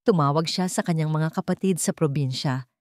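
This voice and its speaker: Female narrator for a melodramatic story, lower register, scripted read, very dry studio-like sound. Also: fairly quick, a little mellow, dry recording.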